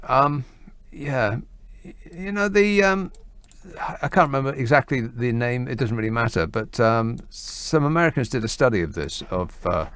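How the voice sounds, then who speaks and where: A man's voice: mid-range voice, lively intonation, normal speed, fairly bright tone, clean tone, no room sound. A man hosting a late-night radio phone-in, musing wryly and often restarting his thoughts, at a studio microphone. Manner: wry, musing, trails off and restarts.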